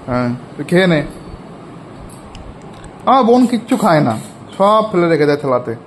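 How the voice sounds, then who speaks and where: A woman's voice: fairly low pitch, sing-song, normal speed. A young woman chatting casually for a family vlog and asking questions, recorded on a handheld phone. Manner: chatty, asks a child questions. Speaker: family vlogger, mother. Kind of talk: casual home vlog chat. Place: in and around a family home. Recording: noisy handheld home recording.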